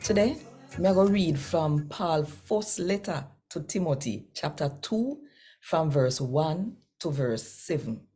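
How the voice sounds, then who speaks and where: A woman with a Jamaican accent reads scripture conversationally as host, speaking deliberately and directly to her listeners. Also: deep voice, lively intonation, warm tone, breathy edge, soft background music.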